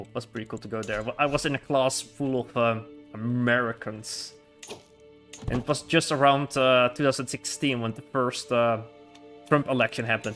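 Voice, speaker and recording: A man's voice: medium pitch, a bit bright. A man streaming a strategy game live, chatting casually in a rambling way, laughing often and restarting his words. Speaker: livestreamer.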